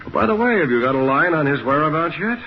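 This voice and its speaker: An actor playing a defiant, cornered murder suspect in a 1940s radio detective drama, blustering and indignant. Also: male voice, a little high, sing-song, quick pace. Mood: wary. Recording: muffled, noisy old radio recording.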